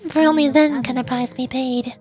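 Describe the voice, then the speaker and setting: A high female voice put on by a woman gives us a ruler of death from a fantasy game. She is stern and imperious, with measured, ominous delivery. Soft music plays behind her. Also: fairly even intonation, close to an everyday voice. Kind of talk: fantasy video game dialogue.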